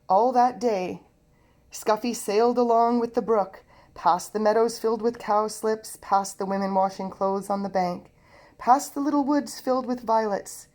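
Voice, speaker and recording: A woman narrating a children's storybook aloud in an expressive storytelling manner. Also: fairly high pitch, moderate pace. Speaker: storybook reader.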